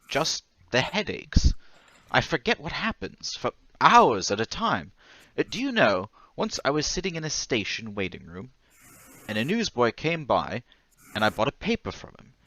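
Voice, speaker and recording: A man's voice: medium pitch, a bit bright, no room sound. An actor playing a timid, troubled stocking salesman in an old-time radio drama, hesitant, stammering and deferentially polite.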